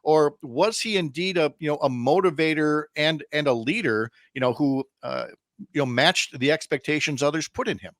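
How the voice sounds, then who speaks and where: A man's voice: a little high, normal speed, fairly bright tone, no room sound, studio quality. A middle-aged man from the American Midwest with an American accent, hosting a conversational radio interview and often reworking his sentences as he goes. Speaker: radio show host, interviewer.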